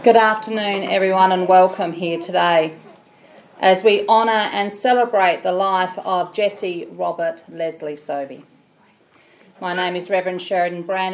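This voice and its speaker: Aussie lady running a funeral in a chapel, big loud voice with some grit, slow and solemn. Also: on the low side, steady tone, vocal fry, a little hiss or hum. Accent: Australian. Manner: measured, solemn delivery. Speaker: funeral celebrant. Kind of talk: officiating a funeral service.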